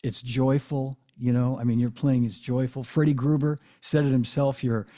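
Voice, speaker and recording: A man hosting a casual interview, speaking in halting phrases with many restarts and affirmations. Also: mid-range voice, level tone, mellow. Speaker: podcast host and drummer.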